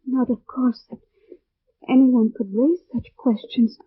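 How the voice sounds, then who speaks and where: An actress playing a gentle young French noblewoman, anguished and pleading, in emotional old radio drama style. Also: on the high side, fairly even intonation, unhurried, breathy edge, no echo. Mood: earnest. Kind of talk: 1940s-50s radio drama acting. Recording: phone-like old radio recording.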